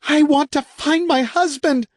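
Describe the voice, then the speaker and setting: A man's voice: high-pitched, takes it slowly, no room sound, clean studio sound, voice pushed up. A man voicing a desperate woman crying out for her missing husband in an audiobook. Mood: frantic. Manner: desperate, pleading cries.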